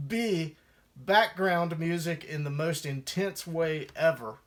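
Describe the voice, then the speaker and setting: A man's voice: a little high, slow. A man reading his own first-person memoir aloud as a musician, in a wry storytelling manner.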